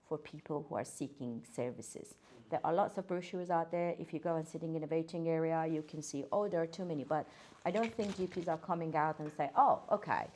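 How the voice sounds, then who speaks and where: Woman, thirties, earnest and hesitant, slightly low voice, podcast guest. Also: a little mellow. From Iran. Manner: earnest, hesitant, many fillers and restarts. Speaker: guest, occupational therapist. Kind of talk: casual podcast interview.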